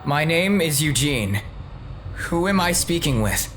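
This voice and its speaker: A man's voice: a little high, sing-song, measured pace, fairly bright tone, a little hiss or hum. A young man playing an earnest, nerdy ghost-hunter in an audio drama, eager and insistent. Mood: earnest, hushed.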